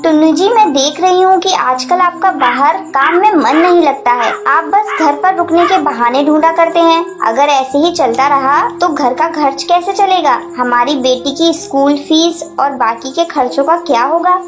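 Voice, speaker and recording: A woman's voice: high voice, moderate pace, few pauses, close to an everyday voice. A woman voicing a kind, trusting mother sparrow in a cartoon, earnest and emotional, with music behind. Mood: worried. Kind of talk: dubbed cartoon acting.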